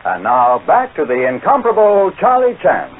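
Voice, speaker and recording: A man's voice: high voice, huge pitch swings, normal speed, exaggerated voice. A man as the announcer of a 1940s radio serial, reading scripted narration in an emphatic, theatrical way. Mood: dramatic. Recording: noisy, tinny old radio recording.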